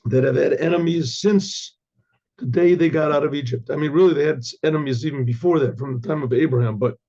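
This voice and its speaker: An older hoarse man, a rabbi, giving a Torah class with halting restarts and frequent throat clearing. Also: a little high, dark tone, muffled recording.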